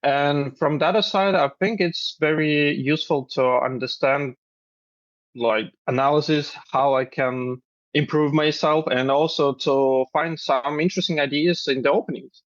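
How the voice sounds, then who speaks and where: A man's voice: fairly high pitch, steady tone, moderate pace, dry recording, muffled recording. Hesitant young man from Belarus. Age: 20s. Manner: hesitant, many fillers, laughs lightly. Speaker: chess grandmaster and coach. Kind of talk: interview answers.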